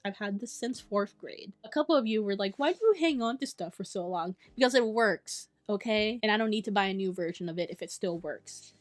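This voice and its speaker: A young woman talking to camera about her summer reading list in a chatty, enthusiastic way, with quick asides and self-corrections. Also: mid-range voice. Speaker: book vlogger. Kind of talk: casual chat to camera.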